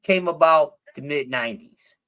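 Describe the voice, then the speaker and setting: A man's voice: on the high side, normal speed, bright, forceful tone. Rambling, cussing Philly man. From Philadelphia. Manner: profane, rambling, with many restarts. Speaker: stream guest. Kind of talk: casual chat.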